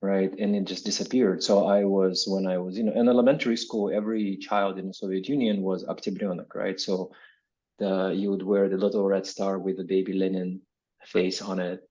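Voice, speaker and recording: Low-voiced man from Kazakhstan, reflective. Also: level tone, brisk, warm tone, muffled recording. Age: 40s. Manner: reflective, explanatory, often tags phrases with "right?". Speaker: interviewed academic guest. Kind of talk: conversational interview answers with personal recollection.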